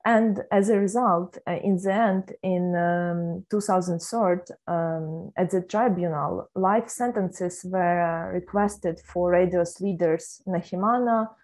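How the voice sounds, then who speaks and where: A woman's voice: lowish voice, slow pace, hardly any pauses, darkish tone. A woman hosting an online panel discussion, speaking hesitantly with many fillers. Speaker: host.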